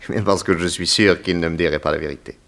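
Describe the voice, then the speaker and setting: A man playing a courteous, persistent private detective in a 1960s French radio drama, polite, measured and probing. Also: low voice, fairly even intonation, vocal fry, fairly bright tone.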